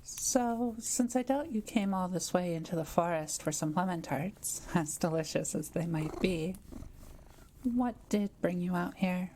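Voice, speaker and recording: Kind forest witch played by a woman, intimate roleplay; soft mellow tone, hesitant and gentle, faint noise behind the voice. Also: fairly low pitch, unhurried, natural voice, rough recording. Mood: curious, coaxing. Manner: gentle, hesitant, softly teasing. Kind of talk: intimate audio roleplay, speaking to the listener.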